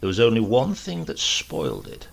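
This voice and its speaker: Husky, very creaky male storyteller. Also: lowish voice, huge pitch swings, normal speed. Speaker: audiobook narrator. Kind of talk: scripted comic story narration.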